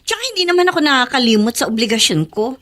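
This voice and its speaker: A woman's voice: high-pitched, animated, quick pace, a bit bright. An actress playing a busy, defensive younger sister in a radio drama, protesting as she speaks.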